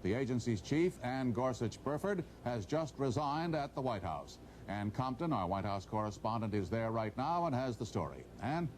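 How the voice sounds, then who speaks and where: A man's voice: medium pitch, sing-song, normal speed, a little mellow, slight background noise. A man anchoring a network television newscast, reading formal scripted news.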